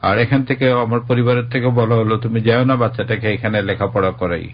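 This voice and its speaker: A man's voice: mid-range voice, steady tone, fairly quick, lo-fi sound, slight background noise. A middle-aged man with a Bangladeshi accent, a guest earnestly giving a personal account and explaining himself defensively. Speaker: interview guest.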